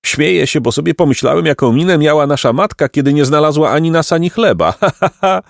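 A man's voice: medium pitch, sing-song, a little fast, no room sound, clean studio sound, pitched above the performer's own voice, close to an everyday voice. A man voicing a brash, impatient young boy in a storybook, taunting and dismissive. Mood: mocking. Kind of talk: storybook character reading.

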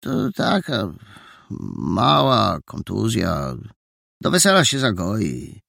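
A man reading an audiobook and voicing a cheeky, joking boy in a raised, offhand voice with a creaky, husky texture. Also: on the low side, huge pitch swings, slow, a bit bright, no echo, studio quality, heavily acted. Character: cheeky bruised boy who jokes.

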